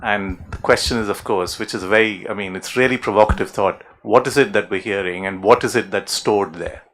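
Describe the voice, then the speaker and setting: A man's voice: lowish voice, brisk, a bit bright, a little hiss or hum. A man moderating a panel discussion, speaking thoughtfully and hesitantly with many fillers.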